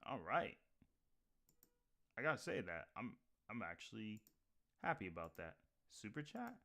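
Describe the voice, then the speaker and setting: Low-voiced man rambling through a livestream. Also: big pitch swings, measured pace, vocal fry, sharp tone. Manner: rambling, full of restarts and fillers. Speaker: livestream host. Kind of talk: casual live stream chat. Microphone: computer mic with webcam.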